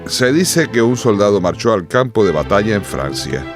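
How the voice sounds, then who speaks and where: A male narrator tells a radio drama story formally and dramatically. His voice is fairly low with lively intonation, and music plays clearly behind him. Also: moderate pace.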